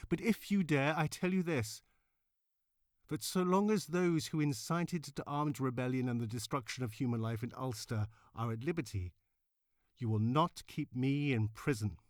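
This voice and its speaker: A man's voice: medium pitch, no room sound, clean studio sound, natural voice. A man voicing an angry, defiant suffragette leader giving a rally speech in a declamatory, emphatic way. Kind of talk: rally oratory in a read-aloud history.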